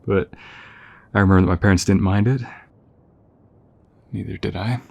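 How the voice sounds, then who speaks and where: Man acting a deep, husky young soldier, affectionate. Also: steady tone, moderate pace, no room sound. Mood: warm, reminiscing. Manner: gentle, affectionate, hesitant, chuckling conversational delivery. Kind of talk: intimate audio roleplay acting.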